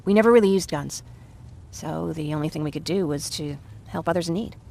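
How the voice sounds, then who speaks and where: Low-voiced, candid young woman. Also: a little fast, creaky, warm tone, a little hiss or hum. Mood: wistful, chatty. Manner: candid, chatty. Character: earnest, talkative young woman, war survivor. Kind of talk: naturalistic video game acting.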